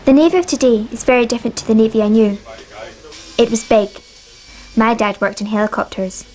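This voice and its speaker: A woman narrating her own documentary in a calm, reflective way. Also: a little high, brisk. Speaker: narrator and filmmaker. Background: music.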